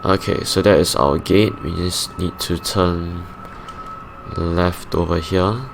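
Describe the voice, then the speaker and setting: A young man with a Singaporean English accent, his low voice gravelly and raspy as if he has just woken up. He talks slowly and haltingly to himself while working through an aircraft shutdown checklist. Loud engine hum runs underneath him. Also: little pitch movement. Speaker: flight sim streamer. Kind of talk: casual play-by-play of a simulated flight.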